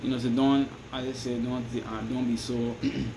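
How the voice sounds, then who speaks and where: A man's voice: medium pitch, level tone, normal speed, background noise. A Barbadian man rambling through casual advice to his viewers, restarting sentences and asking "you understand me?" again and again. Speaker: vlogger giving advice. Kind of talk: casual advice monologue to camera.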